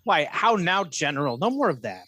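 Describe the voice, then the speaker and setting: A man playing a scheming, falsely honest soldier in a Shakespearean radio drama, speaking in a measured, insinuating manner. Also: fairly high pitch, sing-song, bright, forceful tone, slightly rough, full character voice. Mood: feigned concern. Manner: insinuating, measured, feigned reluctance.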